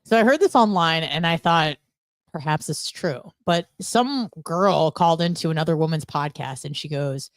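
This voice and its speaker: A woman's voice: low-pitched, no echo, clean studio sound. An American woman chatting casually as a podcast co-host, acting out quotes as she tells things. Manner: conversational, peppered with "like" and acted-out quotes. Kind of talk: casual chat.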